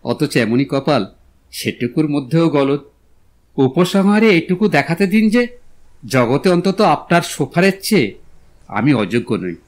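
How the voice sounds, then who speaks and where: An actor playing a courteous, witty young gentleman in an audio drama, speaking in polite, florid literary phrases. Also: on the high side, big pitch swings, unhurried, pauses often. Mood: gallant, pleading. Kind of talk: Bengali audio drama acting.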